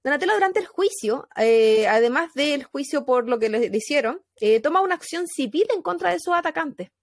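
A woman with a Chilean accent telling a true crime story in casual podcast chat, animated, with fillers and laughs. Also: high-pitched, a little fast, dry recording.

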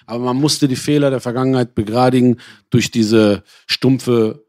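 A man's voice: medium pitch, steady tone, moderate pace, darkish tone, dry recording, clean studio sound. A man born in Iran who grew up in Germany, talking casually and personally as an interview guest, in slangy, colloquial language with digressions and self-corrections.

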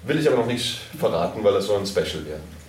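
A man's voice: low voice, lively intonation, fast talker, darkish tone. Man, producer, informal Q&A, distant microphone, faint background noise. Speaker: audio drama producer answering audience questions. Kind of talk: informal question-and-answer talk. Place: indoor convention hall, live event. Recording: echoey, noisy room, far from mic.

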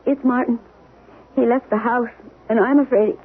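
Radio drama wife female actor: anxious, gentle, hesitant, fairly high voice on a muffled, narrow broadcast. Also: fairly even intonation, moderate pace, slight background noise, natural voice. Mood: frightened. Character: anxious, gentle wife worried about her husband. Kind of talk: 1970s radio drama acting. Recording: muffled, band-limited 1970s radio broadcast.

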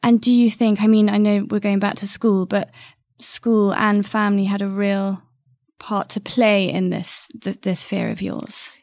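Gravelly-voiced woman interviewer, hesitant. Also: mid-range voice, level tone, measured pace, darkish tone, no echo, studio quality. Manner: hesitant, searching questions. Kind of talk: interview conversation.

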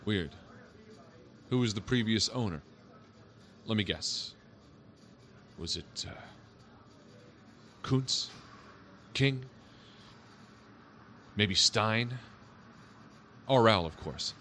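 An actor playing a skeptical, wry police officer in an audio drama, questioning in a casual, doubting, placating way. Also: male voice, on the low side, lively intonation, drawn-out words, long pauses, sharp tone, slight background noise, heavily acted. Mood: wry, dismissive. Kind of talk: audio drama acting, naturalistic.

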